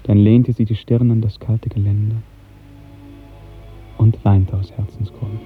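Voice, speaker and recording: A man narrating a literary radio drama in an earnest, lyrical, carefully enunciated way, with a soft, husky voice. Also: on the low side, flat delivery, unhurried, soft background music. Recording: muffled narrow-band 1950s radio recording.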